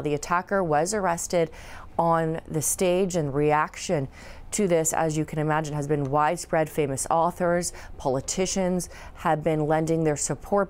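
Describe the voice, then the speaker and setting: Low-voiced woman reporting the news. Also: darkish tone. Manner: measured, with frequent fillers. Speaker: news correspondent. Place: newsroom studio.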